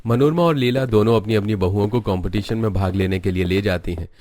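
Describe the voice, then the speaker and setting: A man with a fairly low voice narrates a cartoon story. His delivery is scripted narration, told from outside the characters as a storyteller. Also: fast talker, darkish tone, dry recording, clean studio sound.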